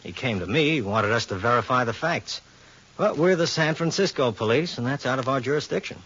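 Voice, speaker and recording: Husky american cop, dry teasing banter, old 40s radio detective show, muffled sound. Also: male voice, medium pitch, animated, a little fast, a bit bright. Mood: casual, explaining. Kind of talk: 1940s radio detective drama acting. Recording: dull vintage radio recording.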